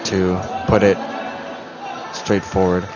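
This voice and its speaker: A man commentating live on a martial arts competition, announcing athletes and scores in a measured, orderly way. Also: sits low, monotone. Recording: muffled broadcast audio, music and crowd behind. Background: venue music and crowd noise.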